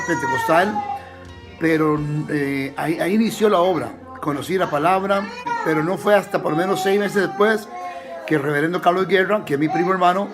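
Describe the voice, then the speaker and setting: Pastor, US-born man, telling his conversion story; earnest, restarts often, light music bed. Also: on the high side. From United States. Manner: earnest, halting, many restarts and fillers. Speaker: missionary pastor. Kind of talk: testimony in an interview.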